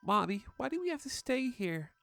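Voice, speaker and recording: A woman's voice: fairly high pitch, sing-song, moderate pace, vocal fry, a bit bright, faint music behind, exaggerated voice. A woman voicing a frightened, pleading five-year-old girl with a small childlike voice in a horror story reading. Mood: pleading, worried.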